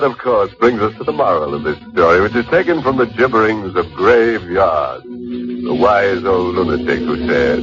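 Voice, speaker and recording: A slow, ghoulish horror-radio fiend. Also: male voice, on the low side. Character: sinister undead avenger, slow and relentless, back from death; gleefully macabre horror host telling ghoulish jokes. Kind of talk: old-time radio horror acting. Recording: dull old radio recording, loud music.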